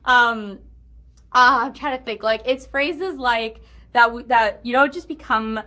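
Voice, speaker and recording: A woman's voice: on the high side, measured pace, bright, forceful tone. A woman speaking casually as an interview guest, informal and thinking aloud as she goes. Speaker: game writer, interview guest. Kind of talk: casual chat.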